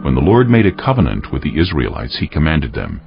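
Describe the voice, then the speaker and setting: A man narrating scripture from a script in a solemn, measured, dramatic storytelling style. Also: very deep voice, huge pitch swings, gravelly. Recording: dull lo-fi audio, faint music underneath.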